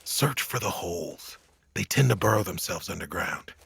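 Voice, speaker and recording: Casting: seasoned fantasy hero, tired but kind, wry delivery, lively intonation, some rasping creak. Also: male voice, low-pitched, moderate pace, no room sound, full character voice. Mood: cautious. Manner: wry, candid, gently reassuring. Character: weary, kind, self-deprecating male monster-slaying hero. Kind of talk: audio drama acting.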